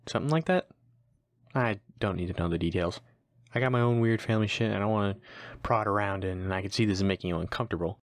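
Man as gruff kindly neighbor, audio roleplay, slangy, lowish pitch, close dry sound. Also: fast talker, no room sound, close to an everyday voice. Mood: curious, laid-back. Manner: casual, blunt, slangy. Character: gruff but kindly male neighbor, streetwise, easygoing.

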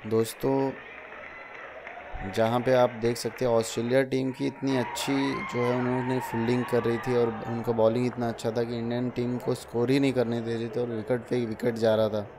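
A young man tells his vlog in a casual voice-over, talking straight to his viewers as friends. His delivery stays level, with little rise and fall. A steady hiss of noise sits under the voice. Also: medium pitch, moderate pace. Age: young adult. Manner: chatty, addresses viewers as friends. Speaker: vlogger.

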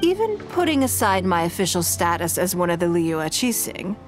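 A woman voicing a poised, wealthy businesswoman in a video game, speaking in a measured, composed and authoritative way. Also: on the low side, sing-song, over music.

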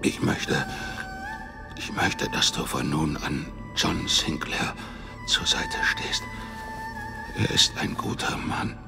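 Dying solemn businessman in radio play, gravelly gasping, super slow, music behind. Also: extremely high pitch, huge pitch swings, heavily acted. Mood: weak, dying. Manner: halting, effortful speech. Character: dying Chinese businessman, solemn, spent, gasping last wishes. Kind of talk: German audio drama acting.

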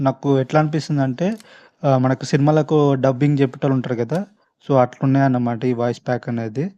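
A man's voice: mid-range voice, little pitch movement, fast, warm tone, dull audio. A man with a Telangana Telugu accent giving casual, chatty gameplay commentary to his viewers, speaking informally and directly. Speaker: gaming video host. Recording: faint background noise, clicking sounds.

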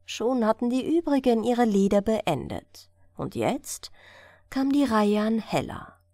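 A woman narrating a bedtime fairy tale as an audiobook reader, with gentle, measured delivery. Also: medium pitch, no room sound, studio quality.

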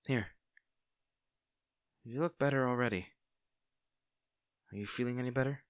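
A man's voice: mid-range voice, moderate pace, smooth, no room sound, studio quality, close to an everyday voice. A man playing a friendly, caring young barista in an intimate audio roleplay, talking in a casual, hesitant and attentive way. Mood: concerned, gentle. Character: friendly, caring young male barista, teasing and easily flustered.

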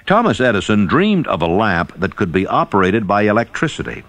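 Low-voiced, animated male audiobook narrator. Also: brisk, no echo.

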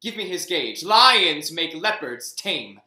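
A man's voice: on the high side, very animated, slow, pushed and bright, reverberant, full character voice. A man voicing a commanding young king in a Shakespeare reading over a video call, with regal verse delivery. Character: King Richard, commanding young male monarch. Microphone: video call webcam.

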